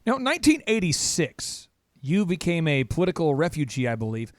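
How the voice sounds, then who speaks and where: A man's voice: a little high, big pitch swings, fairly quick, no room sound, studio quality. An American man hosting a podcast interview with a showman-like, emphatic delivery that repeats phrases. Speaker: podcast host.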